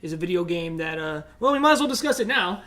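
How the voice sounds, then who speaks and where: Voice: mid-range voice, expressive, quick pace, a bit bright. A podcast co-host chatting casually, repeating words for emphatic stress.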